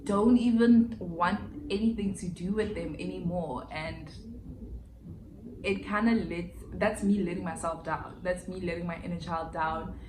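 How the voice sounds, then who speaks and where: A woman's voice: fairly low pitch, fairly even intonation, measured pace. A woman, chatting candidly and reflectively through a casual life update vlog, with slangy asides. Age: 20s. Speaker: vlogger. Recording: echoey room with faint background hiss.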